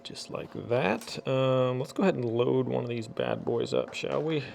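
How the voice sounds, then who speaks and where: A man with a gravelly, creaky voice and a medium pitch talks casually through a product unboxing. He has a folksy, muttering way of thinking aloud while he works. There is faint noise behind him. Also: normal speed, darkish tone. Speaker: unboxing video host.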